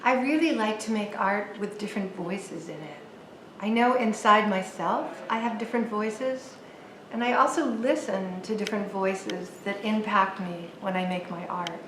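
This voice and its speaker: A woman artist from the US East Coast, talking slowly and thoughtfully about her paintings. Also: medium pitch, a bit bright, roomy sound, a little hiss or hum. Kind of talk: reflective talk about her art.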